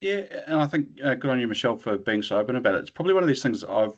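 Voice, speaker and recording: A man's voice: medium pitch, sing-song, rapid-fire, dull audio. A man speaking as a panelist in a webinar, hesitant and stopping to restart his sentences, heard over a video call.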